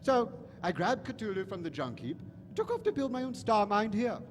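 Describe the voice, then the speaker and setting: An actor playing an eccentric alien mad professor, theatrical, gushing and disdainful, callous toward humans, in a live radio play. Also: male voice, high-pitched, very animated, moderate pace. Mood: airy, boastful. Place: large hall stage at a convention. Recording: echoey hall stage recording, faint noise.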